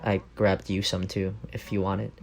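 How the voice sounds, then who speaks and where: A man's voice: low-pitched, flat delivery, moderate pace, vocal fry. A young man playing an affectionate, teasing roommate with a secret crush in a casual, intimate ASMR roleplay. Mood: playful, casual. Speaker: voice actor in audio roleplay.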